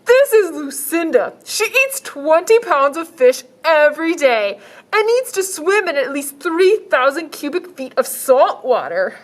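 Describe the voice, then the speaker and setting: A woman voicing an eager male animal shelter worker who pitches odd pets slowly and showily. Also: sing-song. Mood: apologetic eager. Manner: slow, pleading, showy salesman pitch.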